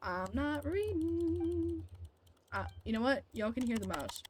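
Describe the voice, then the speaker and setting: Casting: young female game streamer, high voice, blunt and grumbly casual commentary, game music underneath. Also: very animated, measured pace. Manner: blunt, sweary, complaining. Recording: music behind the voice.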